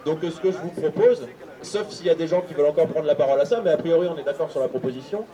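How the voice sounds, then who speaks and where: A man's voice: a little high, very fast, warm tone, muffled recording. A man moderating an open-air assembly, summing up proposals hesitantly with many fillers. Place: outdoor city square. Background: crowd.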